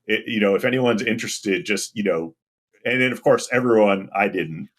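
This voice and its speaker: A man with a deep voice talking casually and anecdotally as an interview guest. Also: moderate pace. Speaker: podcast guest, game industry UX professional.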